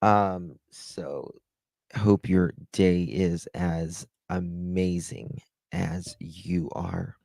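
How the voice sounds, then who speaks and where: A low-pitched man talks unhurriedly to his live-stream audience, chatty and self-deprecating, reading out names from chat and thanking them. His voice sits deep and the sound is dry and close, with no room around it. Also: fairly even intonation, very slow, gravelly, darkish tone, dry recording. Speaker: livestream host.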